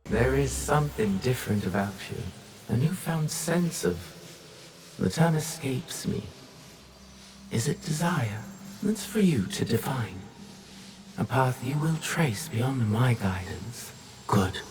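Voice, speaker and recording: An actor playing a mysterious male mentor who speaks slowly in cryptic riddles. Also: medium pitch, lively intonation, a little mellow, slight background noise. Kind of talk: video game cutscene acting.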